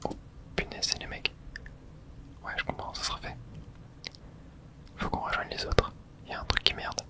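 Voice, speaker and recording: Whispering woman, casual ASMR gamer. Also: normal speed. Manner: whispers throughout, casual and slangy. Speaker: streamer playing a horror video game. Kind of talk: whispered ASMR gaming commentary.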